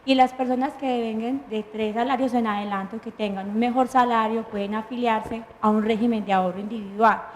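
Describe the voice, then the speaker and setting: A woman with a Colombian accent and a fairly high voice lays out pension rules step by step. She poses questions and then answers them herself, in the even tone of a guest lawyer on a talk show. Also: a little fast, few pauses, slight background noise, rough recording. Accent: Colombian Spanish. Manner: patient, methodical, lists points and answers her own questions. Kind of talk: explanatory studio talk.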